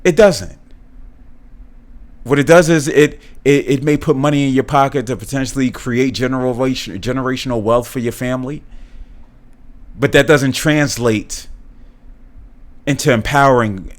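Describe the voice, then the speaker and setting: A man's voice: medium pitch, normal speed, pauses often. A middle-aged man hosting a podcast alone, speaking earnestly, often repeating and restarting words and lifting his voice when he stresses a point. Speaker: podcast host. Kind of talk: solo commentary.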